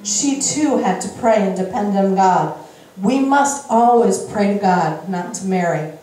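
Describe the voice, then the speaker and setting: A middle-aged woman preacher giving a sermon, explaining scripture in deliberate, emphatic short phrases into a handheld microphone on an indoor church stage. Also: medium pitch, animated, mellow, slight background noise. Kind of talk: sermon, Bible reading with interjected explanation. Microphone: handheld microphone at a lectern. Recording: very echoey room, slightly distant sound.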